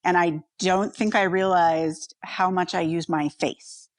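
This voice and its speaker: A woman's voice: lowish voice, fairly even intonation, unhurried, no room sound. A woman co-hosting a podcast in casual conversation. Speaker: podcast co-host.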